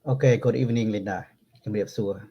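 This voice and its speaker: A man, native Khmer speaker with a French accent, giving halting answers as a guest on a video call and chuckling often. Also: fairly low pitch, steady tone, warm tone, highs cut off.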